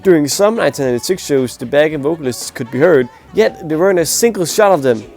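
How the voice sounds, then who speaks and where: Nasal, gravelly young man reviewing. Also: fairly high pitch, lively intonation, fast talker, darkish tone, faint music behind. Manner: blunt, opinionated, grades with scores. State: sick, nasal voice. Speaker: music performance reviewer. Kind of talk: casual spoken video review.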